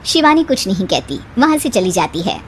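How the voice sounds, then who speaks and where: A woman's voice: mid-range voice, sing-song, brisk, a little hiss or hum. A woman storyteller reading a scripted moral story.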